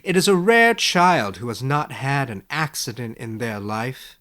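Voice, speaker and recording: Man as a wry, slow-talking male professor. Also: mid-range voice, lively intonation. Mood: amused, wry. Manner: measured, dry, gently lecturing. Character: wry, skeptical, kindly male professor, unhurried and quick-witted. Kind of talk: storybook character voice in audiobook narration.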